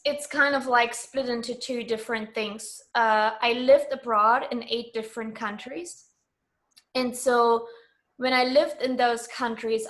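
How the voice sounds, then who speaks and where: A woman from Germany as a guest in a casual interview, explaining things in measured detail. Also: on the high side, fairly bright tone.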